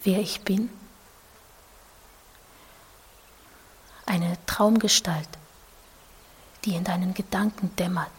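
A woman playing an ethereal, seductive female android who pleads in a slow, solemn, poetic whisper in an audio drama. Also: mid-range voice, full character voice. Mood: mysterious, enticing. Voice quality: breathy, whispering. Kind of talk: literary audio drama acting. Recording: long reverberant hall effect.

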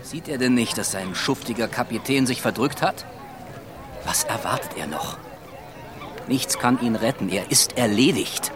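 A man's voice: medium pitch, expressive, moderate pace, natural voice. Impatient, scornful sea captain played by a man. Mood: exasperated. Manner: impatient, contemptuous. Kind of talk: radio play dialogue. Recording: busy street crowd behind. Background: outdoor street bustle.

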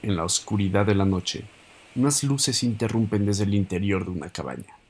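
A man's voice: on the low side, normal speed, a little hiss or hum. A man with a Mexican accent reading scripted narration for an audio story.

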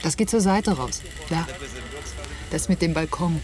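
An actress playing a friendly, informal young holiday acquaintance in a naturalistic radio drama. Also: female voice, on the low side, unhurried, close to an everyday voice. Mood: casual. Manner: informal, switches into Portuguese to interpret. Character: friendly, casual young woman, helpful German holiday acquaintance. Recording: noisy cassette tape of radio play.

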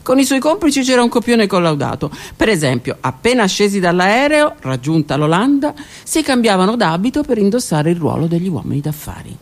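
A woman narrating her own theatre monologue in a hushed, lingering, measured way. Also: medium pitch, very animated. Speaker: author and performer.

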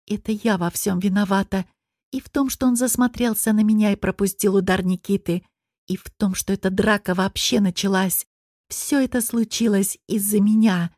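A woman narrates a novel in the first person with expressive delivery. Her voice sits at an average pitch for a woman. The sound is dry, with hardly any room to it. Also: normal speed, no echo. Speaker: audiobook narrator.

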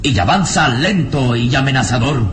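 A man's voice: medium pitch, animated, normal speed. A man narrating an old radio serial in a solemn, suspenseful, emphatic scripted style. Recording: roomy, noisy old radio drama recording.

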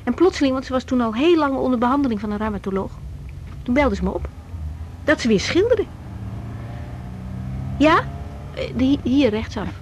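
A woman's voice: high voice, expressive, normal speed, long pauses, lo-fi sound, slight background noise. An actress playing a young, overworked woman doctor in a radio drama, speaking candidly, colloquially and with emotion. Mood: earnest, explaining. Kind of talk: 1980s Dutch radio drama acting.

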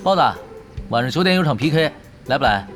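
A man's voice: on the high side, lively intonation, quick pace, close to an everyday voice. An actor playing a laid-back, dryly teasing male gamer who leads his team in a radio drama. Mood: casual. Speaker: voice actor, lead character. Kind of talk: Chinese radio drama dialogue. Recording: music bed behind voice.

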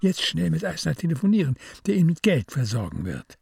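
A man's voice: on the high side, big pitch swings, brisk, gravelly, very noisy. A man with pronunciation reading literary narration for a radio drama.

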